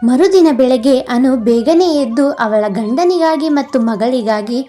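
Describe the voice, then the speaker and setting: A woman narrating an animated moral story in a slow, even storytelling manner. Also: high-pitched, over music.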